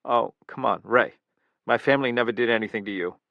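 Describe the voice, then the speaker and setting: A man's voice: medium pitch, fairly quick, creaky, no echo, narrow, tinny audio, close to an everyday voice. A man voicing a frightened male security chief who pleads with a voice that cracks upward. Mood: pleading.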